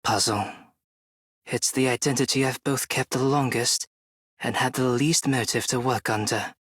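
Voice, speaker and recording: Game role: wry secretive man, breathy mid-range voice, dry recording. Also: medium pitch, fairly even intonation, normal speed, a bit bright, no echo. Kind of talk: video game character voice line.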